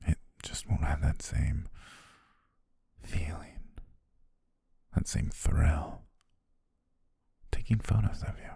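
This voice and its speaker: An obsessive, softly menacing yandere photographer played by a man for an ASMR roleplay. The voice is extremely deep and very breathy, sinking into gravel and half-whispers. He speaks slowly and possessively, with deliberate pauses. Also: expressive, no room sound, heavily acted. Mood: quietly menacing. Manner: slow, hushed, deliberate, with drawn-out pauses. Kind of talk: ASMR roleplay, intimate slow monologue.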